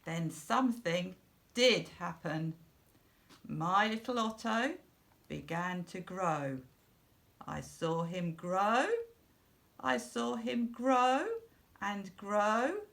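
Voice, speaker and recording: A middle-aged woman reading a children's storybook aloud in a deliberate, expressive way for young listeners. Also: medium pitch, creaky. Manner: slow, deliberate, simple phrasing for young children. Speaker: school storyteller.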